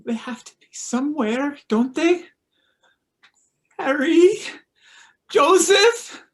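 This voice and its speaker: A woman's voice: high voice, expressive, slow. A woman playing a frightened, distraught mother in a table read. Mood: distraught, scared. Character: Emma, frightened distraught young mother searching for children.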